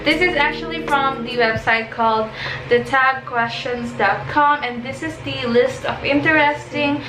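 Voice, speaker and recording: A young woman with a high voice chatting casually as a vlogger, reading out questions with an upbeat manner. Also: unhurried, noisy background. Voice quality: high-pitched. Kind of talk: casual chat. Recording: echoey room with background music.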